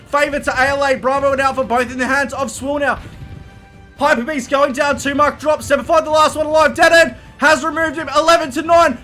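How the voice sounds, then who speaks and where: A man calling an online shooter match, shouting fast play-by-play. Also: extremely high pitch. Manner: excited, fast, shouting near voice loss. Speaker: esports caster.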